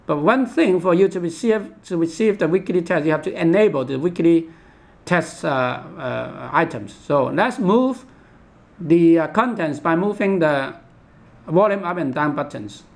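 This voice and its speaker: A man giving an unscripted step-by-step product demonstration in a halting, repetitive instructional manner. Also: on the high side, lively intonation, creaky. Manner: halting, repetitive, instructional, with imperfect English grammar.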